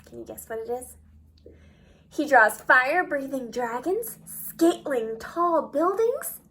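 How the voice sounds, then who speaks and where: A woman reading a children's story aloud expressively and pausing to put guiding questions to young listeners. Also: high-pitched, animated, fairly bright tone, slight background noise. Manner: slow, deliberate, asks guiding questions.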